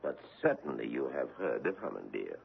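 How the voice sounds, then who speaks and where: A menacing European detective in a radio mystery. His voice is extremely low and gravelly, with animated swings in pitch. He speaks formally and deliberately, insinuating more than he says, over a muffled narrow-band broadcast. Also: full character voice. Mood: polite menace. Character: menacing foreign government investigator hunting a woman from his homeland. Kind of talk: radio mystery drama acting.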